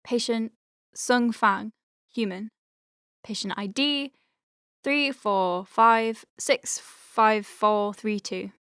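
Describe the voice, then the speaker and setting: An actress playing an earnest, awkward young clinic doctor in an audio drama, speaking hesitantly and naturally with self-corrections. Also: female voice, on the high side, extremely slow, fairly bright tone, smooth, dry recording, studio quality, full character voice. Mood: earnest, dictating. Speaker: doctor dictating patient letters.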